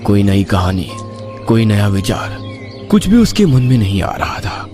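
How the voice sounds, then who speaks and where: A male storyteller narrates a scripted horror tale. His voice is fairly low with lively, wide-moving intonation. Music is clearly heard behind him. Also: a little slow.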